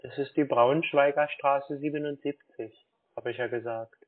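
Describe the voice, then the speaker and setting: A man on a phone call, talking as a confused customer in a halting, dithering way and repeating himself. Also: medium pitch, fairly even intonation. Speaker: scam baiter playing a gullible customer. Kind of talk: phone call, slow put-on pensioner act. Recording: dull band-limited phone line.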